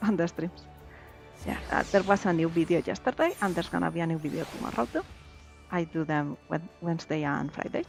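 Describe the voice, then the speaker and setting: A woman's voice: fairly low pitch, vocal fry. Chatty woman streamer, Catalan native. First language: Valencian/Catalan. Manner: chatty, laughs easily, reads game text aloud. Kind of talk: casual chat while gaming. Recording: slightly dull lo-fi stream audio. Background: faint game music.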